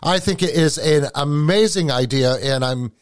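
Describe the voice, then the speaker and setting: A man with a New England accent, hosting a podcast and chatting in an animated, casual way, often repeating words as he starts a thought. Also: on the high side, normal speed, no echo, clean studio sound. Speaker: podcast host.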